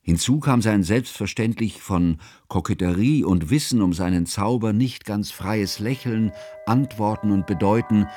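A man playing a learned, devoted friend who narrates a radio drama in formal, ornate, measured literary style. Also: on the low side, mellow, dry recording, faint music behind. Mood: fond, formal.

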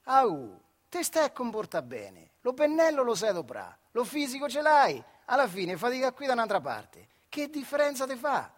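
A man's voice: very high voice, huge pitch swings, moderate pace, few pauses, pitched above the performer's own voice, full character voice. A man voicing a persuasive, blustering factory foreman in stage storytelling, with colloquial, emphatic speech. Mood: cajoling.

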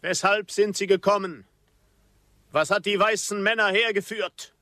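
An actor playing a proud, defiant captive Apache chief in a radio drama, solemn and declamatory. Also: male voice, high voice, steady tone, measured pace, pushed and bright.

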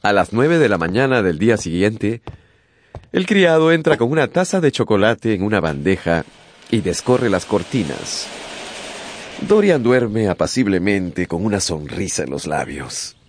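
Theatrical male narrator with wide-ranging pitch. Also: sing-song, slight background noise. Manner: theatrical, intense, deliberate pauses. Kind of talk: dramatic scripted radio narration.

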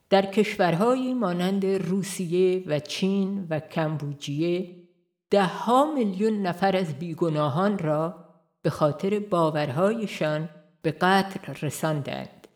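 Low-voiced woman reading an audiobook. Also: lively intonation, darkish tone. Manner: measured, earnest reading with rhetorical questions. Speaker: audiobook reader. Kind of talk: scripted nonfiction narration.

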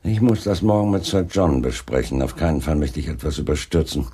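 A wry, commanding chief inspector in a crime radio play. His voice sits very low and is rough-edged, and it moves expressively between dry irony and clipped authority. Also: animated, brisk, dark tone, full character voice. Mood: businesslike. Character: wry, unflappable male Scotland Yard chief inspector.

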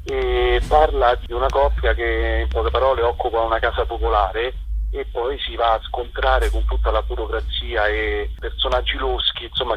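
A man's voice: mid-range voice, a little hiss or hum. A man, interviewed as an actor and playwright, chatting informally and often checking in with tag questions. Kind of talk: informal interview, explaining his work. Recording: muffled, dull phone-like line.

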